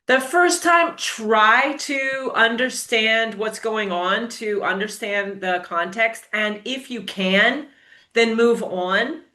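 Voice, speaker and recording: An American woman tutor with a bright, forceful voice gives firm, encouraging advice. She speaks with lively emphasis, and her voice rings in an echoey room. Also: a little high, slow pace. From United States. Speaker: English tutor. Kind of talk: casual interview.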